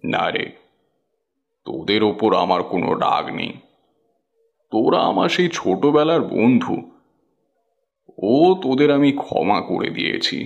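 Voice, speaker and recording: Man playing a kindly, very expressive village uncle. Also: medium pitch, unhurried, vocal fry, pauses often, lo-fi sound. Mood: gentle. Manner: earnest, emotive. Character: kindly, pleading village snack-stall uncle. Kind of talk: cartoon horror story acting.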